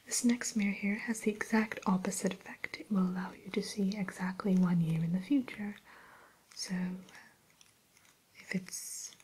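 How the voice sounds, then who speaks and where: A woman's voice: medium pitch, steady tone, moderate pace, a little hiss or hum, lightly acted, low-quality recording. A woman playing a refined, sly evil queen shopkeeper in a whispered ASMR roleplay, with coy, hesitant, genteel sales talk. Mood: selling, confiding. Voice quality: breathy, soft whisper. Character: refined, sly evil queen running an enchanted mirror shop.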